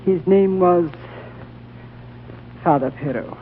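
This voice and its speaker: An actor playing an ancient, frail High Lama who speaks slowly and courteously, in 1930s radio drama style. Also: male voice. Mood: storytelling. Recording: tinny old radio broadcast, faint hiss.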